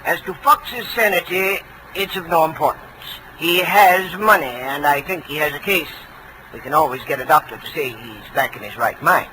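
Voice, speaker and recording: Man playing a high-pitched, pompous, slick radio lawyer. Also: normal speed, natural voice. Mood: breezy, scheming. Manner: hearty, florid, hemming, old-fashioned phrasing. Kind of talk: 1940s radio acting. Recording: noisy vintage radio recording.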